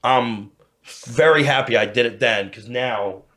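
A man's voice: medium pitch, a little slow, pushed and bright. An American man in his thirties chatting casually and candidly, swearing often and rambling as he repeats himself. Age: 30s. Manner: profane, rambling, repeats himself, frequent "you know". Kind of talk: casual candid podcast chat.

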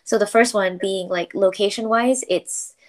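A young woman from Malaysia, chatting as a podcast guest in a middle-pitched voice. She talks freely, laughs often, and fills her sentences with "like" and "you know". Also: steady tone, unhurried. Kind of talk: casual interview chat.

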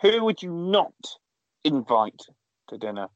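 Male co-host, deadpan rambling asides, dull dry remote audio. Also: a little high, a little slow, no echo. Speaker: podcast co-host. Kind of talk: casual chat. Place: small garden shed.

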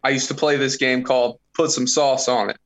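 A man's voice: medium pitch, fairly even intonation, a little fast, fairly bright tone. Profane joking man in his thirties. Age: 30s. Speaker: podcast guest. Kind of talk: casual podcast chat.

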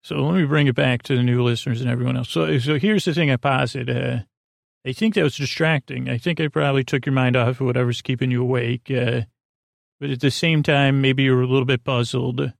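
Male sleep podcast host, middle-aged, mid-pitched and croaky, silly meandering monologue with repeats and unfinished thoughts. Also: steady tone, brisk, no echo, clean studio sound. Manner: silly, whimsical. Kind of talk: rambling bedtime monologue.

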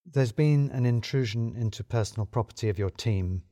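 A man voicing a discreet, formal woman from human resources in an audio story, speaking in a hushed, careful way. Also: medium pitch, moderate pace, dry recording, clean studio sound, close to an everyday voice. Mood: tactful concern.

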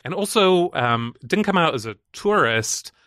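Bright-voiced man, softened Northern Irish accent. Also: on the high side, lively intonation, unhurried, no room sound, studio quality. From Northern Ireland. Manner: rambling, self-deprecating, laughs often. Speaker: podcast guest. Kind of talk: casual chat.

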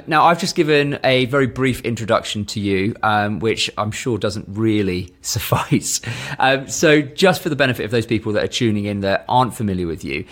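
A man hosting a business podcast, asking questions in a measured, conversational way with frequent ums. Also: on the low side, a bit bright. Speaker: podcast host. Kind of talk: podcast interview.

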